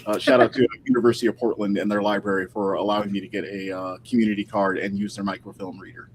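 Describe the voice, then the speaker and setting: A man's voice: lowish voice, level tone, fast talker, slight background noise, poor audio. A man talking casually and thoughtfully as a guest historian in an informal interview, picking his words tentatively over a video call.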